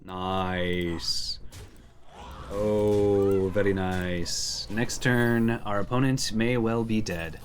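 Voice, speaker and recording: A man's voice: on the low side, fairly even intonation, very slow, a little hiss or hum. A man giving casual gameplay commentary, thinking aloud as he weighs his options. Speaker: host playing and commenting on a card game.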